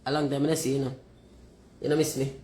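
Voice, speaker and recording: A Jamaican woman with a deep voice, talking forthrightly and emphatically and slipping into patois. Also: little pitch movement, fast talker, dark tone, roomy sound. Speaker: commentator.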